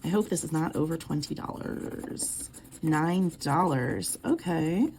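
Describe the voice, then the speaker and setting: Woman vlogger, hoarse from a sore throat, deep fry-edged voice, murmured counting. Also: takes it slowly, a little hiss or hum. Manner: quiet, murmured counting of bills. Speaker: budgeting vlogger. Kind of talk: casual cash-stuffing walkthrough.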